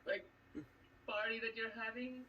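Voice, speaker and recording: A man's voice: very high voice, flat delivery, unhurried. A man taunting sarcastically on a video call, drawing out his mocking words. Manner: mocking, drawn out. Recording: dull, distant, noisy call audio.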